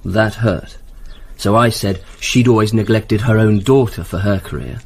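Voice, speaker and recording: A man's voice: fairly low pitch, unhurried, vocal fry, slight background noise. An English actor in a radio drama playing a self-important male politician with a clipped, defensive manner.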